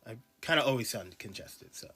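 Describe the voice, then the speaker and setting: A man's voice: mid-range voice, animated, fairly quick, bright, forceful tone. A man talking casually while he draws, congested from allergies, rambling with many ums and uhs. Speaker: artist streaming a drawing session. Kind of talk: casual talk while drawing.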